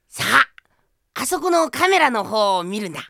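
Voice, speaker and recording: High, creaky, sly coaxer. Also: male voice, wildly swooping pitch, measured pace, pushed and bright, dry recording, clean studio sound, heavily acted. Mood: falsely cheerful. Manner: wheedling, falsely friendly. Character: sly, coaxing middle-aged man luring a child. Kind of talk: Japanese audio drama acting.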